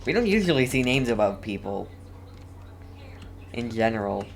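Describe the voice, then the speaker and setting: A woman's voice: a bass voice, very animated, moderate pace, creaky, slight background noise. A woman giving casual gameplay commentary, thinking aloud and repeating short phrases as she plays. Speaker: gaming video host.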